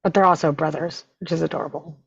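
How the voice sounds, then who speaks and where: A woman's voice: low voice, fairly even intonation, quick pace, vocal fry, breathy edge, dry recording. A woman chatting casually as a table read cast member, on a dull, muffled recording. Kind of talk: casual chat.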